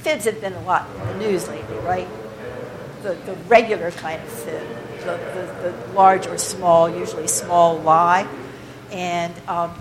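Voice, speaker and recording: A woman with a slightly low voice leads a poetry workshop in a loose, conversational way, wandering off on side paths with plenty of ums and restarts. The recording carries a steady layer of noise behind her. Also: a little slow. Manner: chatty, digressive, with many fillers and restarts. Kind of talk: informal workshop talk to a group. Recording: noisy room recording.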